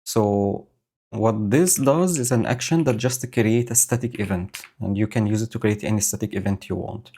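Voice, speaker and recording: A man streaming live, explaining his code slowly and thinking aloud with many fillers. He has a low voice and is speaking into a home microphone setup with a noise gate. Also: dark tone. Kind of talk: casual live-coding commentary. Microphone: new home audio setup with noise gate.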